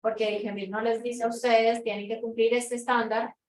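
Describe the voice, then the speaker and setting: A woman's voice: on the high side, little pitch movement, moderate pace. A woman with a Colombian accent giving an explanatory conference lecture to an audience. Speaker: conference speaker, researcher. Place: lecture room. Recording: echoey room, distant mic, background noise.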